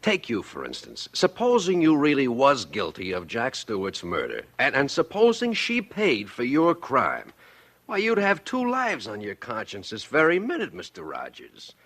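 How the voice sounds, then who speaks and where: A rambly old-radio bartender, folksy n halting, creaky voice that swoops all over the place. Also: male voice, mid-range voice, wildly swooping pitch, fairly bright tone, full character voice. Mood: soothing, musing. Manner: colloquial, halting, drawn-out musing. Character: folksy, rambling bartender humouring a troubled regular. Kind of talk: 1940s radio drama acting.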